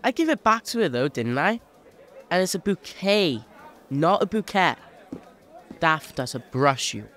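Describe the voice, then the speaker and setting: An actor playing a cheeky, boastful young chimney sweep in an audio drama. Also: male voice, on the high side, sing-song, measured pace, pauses often, a bit bright.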